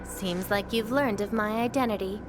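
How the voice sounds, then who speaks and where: A woman's voice: medium pitch, moderate pace, noisy background, close to an everyday voice. Poised teasing fire-wielding young woman, voiced by an actress. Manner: poised, teasing, self-assured. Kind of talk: game dialogue. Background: game music.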